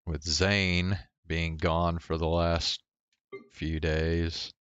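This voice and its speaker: A man's voice: low-pitched, level tone, very slow, fairly bright tone, smooth, dry recording, muffled recording. A man streaming a game, talking casually and mumbling his thoughts. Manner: laid-back, mumbling. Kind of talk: casual gameplay commentary.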